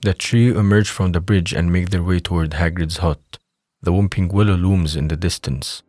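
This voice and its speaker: A man narrating a scripted audio drama in a measured, descriptive manner. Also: sits low, steady tone, a little mellow, no room sound, studio quality.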